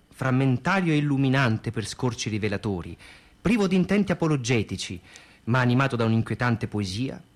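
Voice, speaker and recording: A man reading scripted radio theatre narration in a declamatory, literary way. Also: mid-range voice. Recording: music bed behind the voice.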